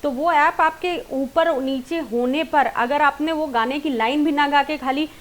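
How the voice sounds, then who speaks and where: A woman's voice: sits high, measured pace. A woman with an Indian accent giving an informal singing lesson online, explaining directly to viewers and mixing in English terms. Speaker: singing teacher.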